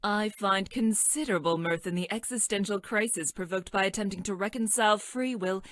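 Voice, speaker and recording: A woman voicing a stranded time-traveling scientist in a video game, clinical and detached, speaking in stilted, formal, technical language with a deadpan delivery. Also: mid-range voice, a little fast, dry recording, studio quality, natural voice. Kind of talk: scripted video game character lines.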